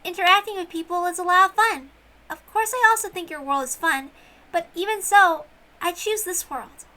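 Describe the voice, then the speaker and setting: A woman voicing a gentle, polite young servant girl, earnest and hesitant, in a story reading. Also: very high-pitched, normal speed, voice pushed up. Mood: sincere, gentle.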